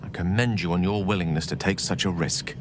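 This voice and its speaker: An actor playing an eloquent, courteous wizard in a fantasy video game, wordy and refined with a playful, wry touch. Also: male voice, low-pitched, brisk, noisy background, natural voice. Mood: approving, measured.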